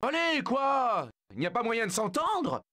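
A man's voice: very high-pitched, wildly swooping pitch, unhurried, bright, forceful tone, exaggerated voice. An actor voicing a lonely, remorseful male lion who talks to himself in a video game dub. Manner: plaintive, lonely.

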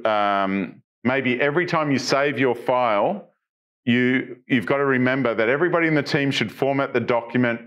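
A middle-aged man presenting tech news on a studio stage, talking casually through software features, asking viewers questions, with frequent ums and restarts. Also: medium pitch. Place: studio stage with dark backdrop.

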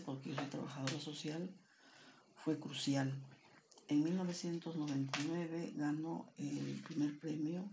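Low-key older Andean Peruvian woman, flat intonation. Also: background noise. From Andean Peru. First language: Quechua. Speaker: poet. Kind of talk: introducing and reading own poetry. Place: small plain room indoors.

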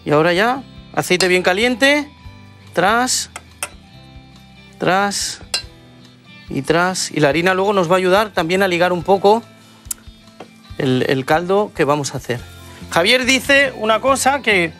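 A man's voice: sits high, animated, a little slow, long pauses, a bit bright, faint music behind. A man, hosting a cooking show and chatting enthusiastically as he cooks. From Spain. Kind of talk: cooking demonstration. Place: TV studio kitchen set.

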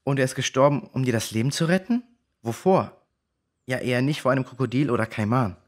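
A man's voice: medium pitch, brisk, natural voice. A man playing an honest, contrite boy in a children's audio drama. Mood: curious, open.

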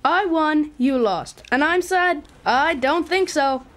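A woman's voice: high voice, slow pace. A woman playing a smug young boy gamer in an audio drama, needling and mock-concerned. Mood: smug, taunting. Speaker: actor.

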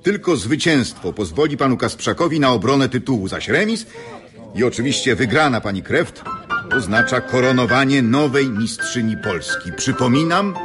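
An actor playing a formal, courteous tournament announcer in a radio drama, ceremonious and emphatic. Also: male voice, medium pitch, lively intonation, moderate pace, music in the background, lightly acted.

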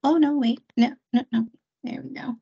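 A woman with a husky voice moderating a video call, muting participants. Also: high voice, fairly even intonation, normal speed, dark tone, dry recording, muffled recording.

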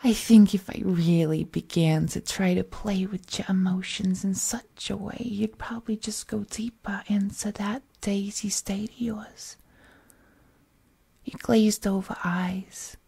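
Roleplay audio villainess, played by a woman: doting, menacing captor, slow and dark-toned, slangy, coaxing, breathy. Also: mid-range voice, exaggerated voice. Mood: sinister, hushed. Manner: coaxing, folksy slangy speech, drifting into breathy whispers. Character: unhinged possessive female supervillain kidnapper, sweetly menacing and doting. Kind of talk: roleplay audio acting, intimate monologue.

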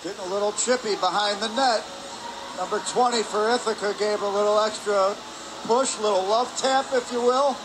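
A man's voice: high voice, normal speed. A man giving live hockey analysis as a color commentator, conversational with hesitant phrasing. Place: press area above rink in an indoor arena. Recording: noisy ice arena, steady background din.

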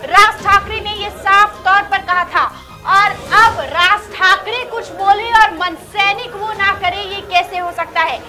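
A woman news anchor giving an excited breaking news voice-over, emphatic and repeating phrases to drive them home. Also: very high-pitched, unhurried, few pauses. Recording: music and crowd noise behind voice. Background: loud music and crowd sounds.

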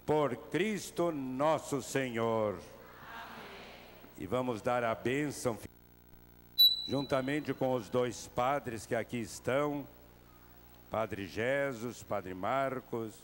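Older bishop on a handheld mic, slow and measured, mid pitch, big hall reverb. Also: male voice. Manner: slow, measured and familiar with the crowd. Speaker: bishop leading Mass. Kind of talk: celebrating Mass, closing rites and blessing. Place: huge covered hall full of worshippers. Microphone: handheld microphone. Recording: long reverb of a large hall, faint noise.

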